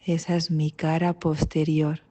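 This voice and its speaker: A woman with an accent from Spain leading a guided meditation, calm and gentle, with long pauses between short instructions. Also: deep voice. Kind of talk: guided mindfulness body scan meditation.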